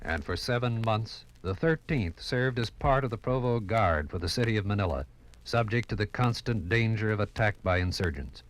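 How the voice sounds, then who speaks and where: A man narrating a 1950s radio history program in a measured, dramatic way. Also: lowish voice, animated, low-quality recording.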